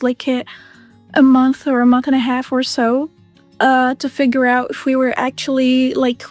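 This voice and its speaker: A woman from Kuwait shares her story in an interview. Her voice is high, and her tone stays fairly level. She pauses often and fills gaps with hesitant fillers while soft music plays underneath. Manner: hesitant, many fillers, searching for words. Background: background music.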